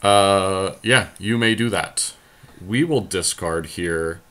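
Man, lowish bright forceful voice, casual game commentary, thinks aloud, slangy. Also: slow. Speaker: podcast co-host playing a card game.

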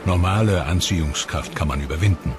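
A man narrating a science documentary in a measured, ominous scripted voice-over. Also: low voice. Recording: studio voice-over over loud music. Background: music.

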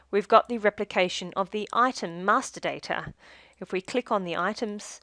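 Woman, lowish pitch, scripted tutorial narration, measured instructional delivery, dry close recording. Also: fairly bright tone, dry recording. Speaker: software demo narrator.

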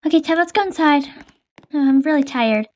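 A woman with a high voice plays her part in a casual game roleplay. She talks in a hesitant, chatty way with many fillers. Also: fairly quick, a little mellow. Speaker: roleplay player.

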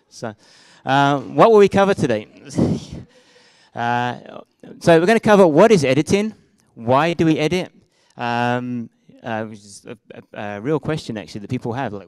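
A man's voice: medium pitch, smooth, no room sound, rough recording. A young man with a British accent giving a casual talk at a meetup, speaking into a handheld microphone, hesitant with many fillers and restarts. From Britain and Israel. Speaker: conference speaker. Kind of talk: live meetup presentation. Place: meetup room with projected slides.

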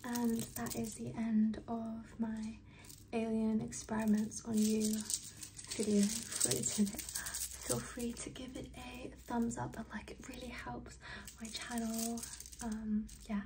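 A young woman in her twenties chatting in a soft ASMR whisper, repeating words slowly as triggers, recorded close to the mic in a small bedroom. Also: a little high, level tone, noisy background. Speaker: ASMR creator. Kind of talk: whispered ASMR outro chat. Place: small bedroom with red lights. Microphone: close mic, facing camera.

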